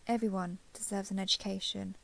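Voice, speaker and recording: A young woman playing a caring giant dragon girl in an intimate audio roleplay, speaking to the listener in a gentle, reassuring, slightly hesitant way. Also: medium pitch, flat delivery, brisk, vocal fry, natural voice. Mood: soft, reassuring.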